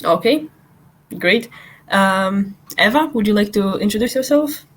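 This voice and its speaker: A woman's voice: medium pitch, lively intonation, a little slow. A woman moderating an online panel, polite and slightly hesitant, with frequent fillers, on a video call.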